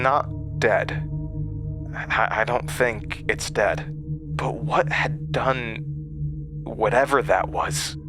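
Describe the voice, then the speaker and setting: An actor playing a haunted man recounting a terrifying memory in a horror audio drama, halting and hesitant. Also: medium pitch, close to an everyday voice. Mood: grim, fearful. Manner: halting, hesitant, with stammered restarts.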